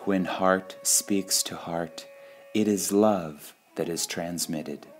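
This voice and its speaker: A man reads short spiritual sayings in a measured, deliberate devotional style, pausing between phrases. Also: low-pitched. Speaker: reader of spiritual quotations. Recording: music under the voice. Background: background music.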